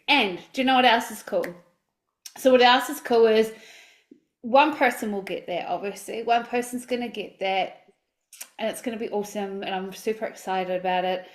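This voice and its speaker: Chatty upbeat woman in her forties. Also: fairly high pitch. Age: 40s. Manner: upbeat, chatty, many fillers and restarts. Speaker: life coach. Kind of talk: casual livestream talk.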